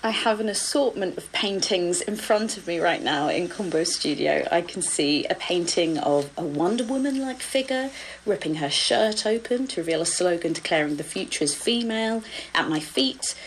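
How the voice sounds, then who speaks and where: A woman's voice: fairly low pitch, expressive, moderate pace, slight background noise, low-quality recording. A woman working as a radio reporter, describing things and interviewing on location. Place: artist's studio in Paris suburbs.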